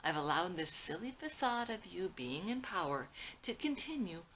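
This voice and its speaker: A woman acts out an embittered villain son from an adventure script. The voice is sharp and forceful, the manner arrogant and contemptuous, with faint noise in the background. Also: fairly low pitch, very animated, normal speed, voice pushed up, rough recording. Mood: menacing, scornful.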